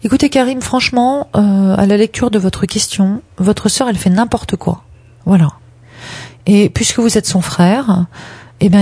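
Frank woman giving advice, husky dark voice. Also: medium pitch, dry recording. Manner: frank, direct, with many hesitations. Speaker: relationship advice guest. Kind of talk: radio advice talk. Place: radio studio.

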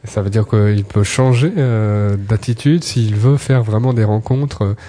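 A man's voice: on the low side, fairly even intonation, a little slow, dark tone. A man hosting a radio talk show, reading out listeners' questions and giving out contact details. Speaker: radio host.

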